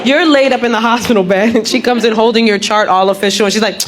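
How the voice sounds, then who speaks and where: A woman doing animated stand-up comedy at a club, often slipping into mimicked voices and laughing along. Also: fast. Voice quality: deep voice. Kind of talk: stand-up crowdwork set. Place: comedy club stage with live audience. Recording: loud crowd laughter, club noise.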